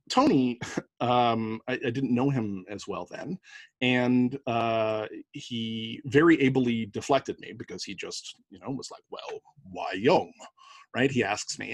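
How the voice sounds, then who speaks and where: Husky-voiced Canadian man lecturing. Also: medium pitch, dry recording. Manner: conversational, anecdotal, lots of fillers and rhetorical "right?". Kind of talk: university lecture.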